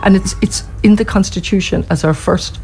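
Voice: mid-range voice, fairly even intonation, normal speed, gravelly, a little mellow, faint music behind. An interview guest from Ireland with an Irish accent, speaking passionately and thoughtfully, often restarting phrases. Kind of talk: earnest conversational interview answers.

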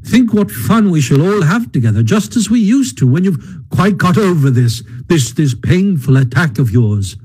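A man voicing a brisk, kindly male water rat, speaking in a coaxing way in a storybook reading. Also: on the high side, sing-song, a little mellow, breathy edge, pitched above the performer's own voice. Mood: kindly teasing. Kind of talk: audiobook reading.

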